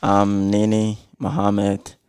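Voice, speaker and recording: A man's voice: fairly low pitch, completely flat delivery, takes it slowly, darkish tone, clear, resonant tone, no echo, clean studio sound. A man in his twenties from Somalia, a podcast guest giving hesitant, short answers with many uhs. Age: 20s. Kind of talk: casual interview answers.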